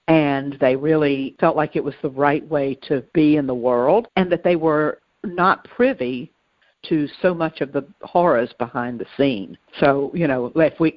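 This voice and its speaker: A woman's voice: sits low, no echo. Woman cohost chatting, thoughtful, stop and start phrasing, dry slightly dull podcast audio. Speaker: podcast co-host. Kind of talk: casual conversational discussion.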